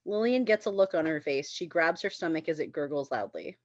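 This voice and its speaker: A woman's voice: low voice, animated, brisk, a little mellow, no room sound, lo-fi sound. A woman reading screenplay stage directions aloud at a table read, in a giggly way. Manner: giggly, often breaking into laughter.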